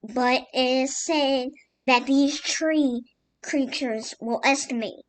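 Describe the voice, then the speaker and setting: A woman with a high voice delivers a mock emergency alert. She reads slowly and formally, halting between phrases like a scripted civil announcement.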